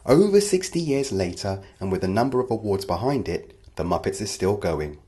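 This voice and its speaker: A man's voice: on the low side, very animated, fairly quick, over music. A man narrating a scripted list video as a presenter.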